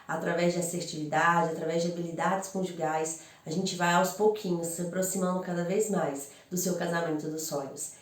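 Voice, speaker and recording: A woman's voice: fairly low pitch, steady tone, fairly quick, hardly any pauses. A woman with a Brazilian accent, a couples psychologist, explaining in a friendly, chatty way with rhetorical questions. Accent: Brazilian Portuguese. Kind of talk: informal explanatory talk to camera. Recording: roomy echo, voice from far off.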